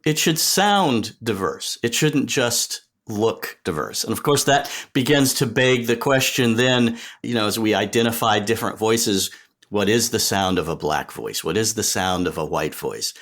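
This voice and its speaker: A middle-aged or older man giving thoughtful, articulate interview answers as a podcast guest, in measured, reflective phrasing. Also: lowish voice, animated, fairly bright tone. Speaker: podcast guest, sonic strategy expert.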